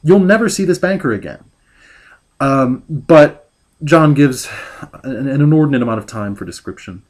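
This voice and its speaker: A man picks apart a book he plainly dislikes, his voice sitting at an ordinary middle pitch. He stumbles and restarts his sentences, sighing his way through a long rant. It is recorded at home on a desktop mic. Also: expressive, a little mellow. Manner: exasperated, ranting; halting, full of restarts. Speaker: book reviewer. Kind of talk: critical book review rant.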